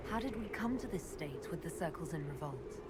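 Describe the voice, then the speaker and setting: An actress playing an earnest, inquisitive heroine in a fantasy video game, asking things in a direct, even way. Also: female voice, deep voice, a little fast, heavy background noise. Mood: curious, serious. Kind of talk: scripted video game dialogue.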